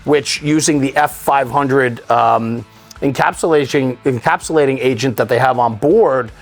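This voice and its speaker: A man's voice: medium pitch, normal speed, soft background music. A man hosting a studio interview, explaining things in an enthusiastic way. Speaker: show host interviewing a guest. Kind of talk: studio interview about fire apparatus.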